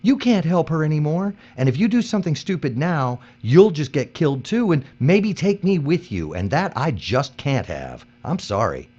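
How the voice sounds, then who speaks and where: An actor playing a hard-nosed, pragmatic captive worker in an audio drama, terse and urgent. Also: male voice, a little high, sing-song, moderate pace, few pauses, dark tone, breathy edge. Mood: stern. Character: hard-nosed, pragmatic male captive worker keeping his partner alive. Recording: dull, muffled recording.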